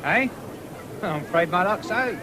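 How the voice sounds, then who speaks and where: A man's voice: sits high, lively intonation, measured pace, a crowd in the background. Young countryman at a fair: rural English, teasing, free and easy, lightish voice, noisy 1970s radio drama. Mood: cheerful, rueful. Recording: noisy old radio broadcast, fairground din.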